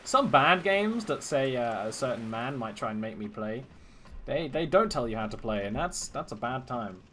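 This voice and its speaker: A man's voice: mid-range voice, lively intonation, normal speed, fairly bright tone, slight background noise. Playful young man streaming a game. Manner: playful, jokey, self-mocking. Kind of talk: casual gameplay commentary.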